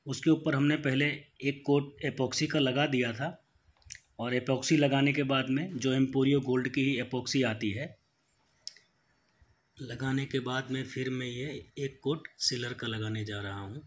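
A man's voice: mid-range voice, steady tone, normal speed. A man in his thirties, a wood polisher, explaining his work step by step in a plain, practical way, with small restarts. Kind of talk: how-to voice-over.

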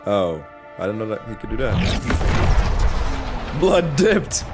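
A young man giving profane, excitable live commentary while playing a game. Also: lowish voice, slow pace, music in the background. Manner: profane, excitable, reacting aloud. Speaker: gaming streamer. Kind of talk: casual gameplay commentary.

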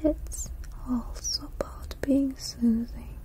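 A young woman whispering softly into two sensitive foam-covered microphones during an ASMR live stream in a small indoor room. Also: high-pitched, fairly even intonation, takes it slowly. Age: young adult. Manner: soft unvoiced whispering close to the mics. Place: small indoor room before a blue backdrop. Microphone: two foam-covered shotgun mics right at her face.

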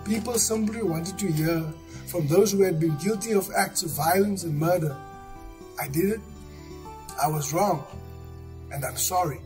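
A man giving earnest, steady scripted narration for a church video, recorded on a studio set. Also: high voice, unhurried, long pauses, faint music behind. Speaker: church member presenting.

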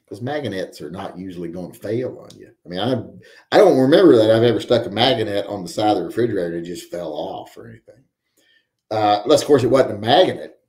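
A man's voice: on the low side, lively intonation, fast, a little mellow, reverberant. A man in his forties hosting a live stream from home, reading viewer chat and rambling with wry joking asides. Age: 40s. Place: home room with guns on the wall.

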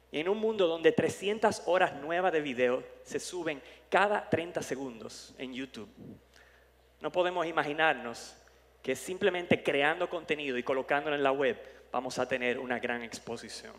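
A man's voice: a little high, fairly bright tone. Playful man on a big conference stage. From born in New York, parents from the Dominican Republic. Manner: playful, jokey, self-promoting. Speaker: conference speaker. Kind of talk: humorous keynote talk. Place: large conference hall stage. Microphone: headset mic. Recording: long hall reverb.

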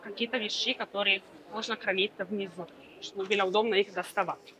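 A woman's voice: mid-range voice, fairly even intonation, fairly quick, bright, forceful tone, rough recording. A woman in her thirties presenting furniture at a fair stand, explaining fluently with many small fillers. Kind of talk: product demonstration.

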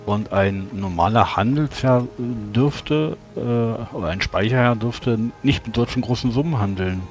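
A man speaking in character in a tabletop role-playing game, arguing in a deliberate, formal way. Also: medium pitch, faint music behind. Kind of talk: in-character improvised game dialogue.

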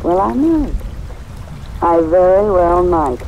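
An actress playing a sardonic, boozy former stage star with an arch, theatrical drawl in an old-time radio drama. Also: female voice, fairly low pitch, lively intonation, slow, narrow, tinny audio, a little hiss or hum. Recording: old radio broadcast under loud rain.

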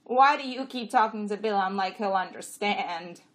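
A woman's voice: medium pitch, normal speed, a bit bright, pitched above the performer's own voice, close to an everyday voice. A woman voicing a dim, bickering male pack donkey with a blunt manner in an audiobook story. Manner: blunt, stubbornly echoing complaints. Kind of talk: audiobook character voice.

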